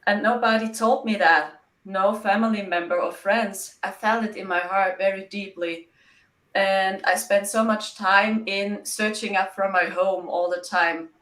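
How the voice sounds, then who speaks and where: A German woman with a German accent, answering interview questions in halting, simple phrases with a frequent "yeah". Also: medium pitch, steady tone, fairly bright tone, roomy sound. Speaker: guest.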